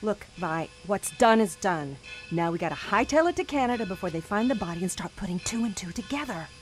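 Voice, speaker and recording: An actress playing a tough, bossy bank teller turned thief, wry and slangy in a period radio drama. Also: female voice, mid-range voice, animated, fairly quick, faint music behind, close to an everyday voice, low-quality recording. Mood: firm.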